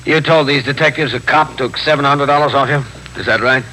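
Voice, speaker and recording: A man's voice: medium pitch, brisk, pushed and bright, natural voice. A man playing a businesslike middle-aged police captain in a 1950s radio drama, speaking in a clipped, formal, matter-of-fact way. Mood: probing, firm. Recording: noisy 1950s radio recording.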